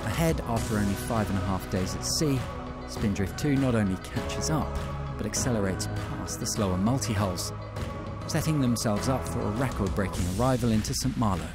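A man's voice: fairly low pitch, moderate pace. Man narrating a sailing film over music. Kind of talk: scripted documentary narration. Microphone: studio voice-over.

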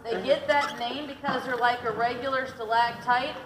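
A woman's voice: on the high side, fairly even intonation, normal speed, background noise. A talkative woman from Detroit who later moved to Tennessee, guiding a tour and chatting casually with jokes and stories. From Detroit, Michigan; raised partly in Tennessee. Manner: joking, giggly, talkative. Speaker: cave boat tour guide. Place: boat on an underground lake in a cave. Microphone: passenger's camera at some distance. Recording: distant mic, people chattering around.

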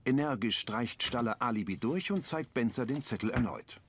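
A man reading the audio description of a crime series in a calm, matter-of-fact way. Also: mid-range voice, normal speed, a little hiss or hum.

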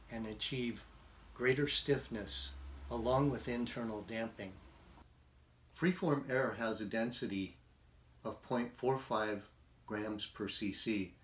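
A man in his fifties giving a scripted instructional presentation in a measured, deliberate, technical manner. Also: mid-range voice, a little hiss or hum. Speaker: presenter of a product tutorial. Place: small indoor workshop with bare walls. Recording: roomy, echoing workshop sound.

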